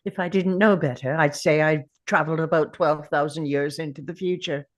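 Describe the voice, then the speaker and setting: A woman's voice: sits low, fairly even intonation, fairly quick, lightly acted. A woman playing an ancient male time traveller, weary and defiant, at a table read of a drama script. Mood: musing.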